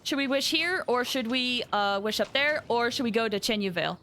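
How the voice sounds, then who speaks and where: A woman game streamer chatting casually with her viewers in an excitable, chatty manner. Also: a little high, fairly quick, slight background noise. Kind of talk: casual livestream chat with viewers.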